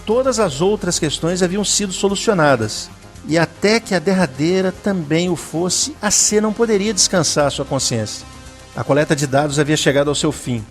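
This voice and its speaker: A Brazilian man narrating a scripted dramatic story in a solemn, deliberate manner. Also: on the high side, soft background music.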